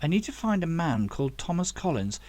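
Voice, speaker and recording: A man's voice: a little high, very animated, a little fast, darkish tone. A man voicing an earnest young male time traveller seeking answers, plain-spoken, in a storybook reading.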